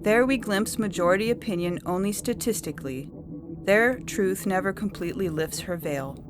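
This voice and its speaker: A woman's voice: mid-range voice, natural voice. Woman playing an implacable, stern judge. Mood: stern conviction. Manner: stern, measured, certain. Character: implacable, imperious female judge of absolute conviction. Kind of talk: dramatic story reading.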